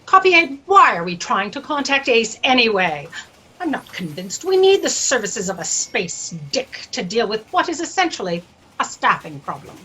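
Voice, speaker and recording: A woman's voice: a little high, very animated, normal speed, sharp tone. A woman playing an exasperated cosmic editor-in-chief in a satirical drama, pompous and scolding. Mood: imperious, exasperated. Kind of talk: sci-fi satire audio drama. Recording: slightly noisy video-call audio.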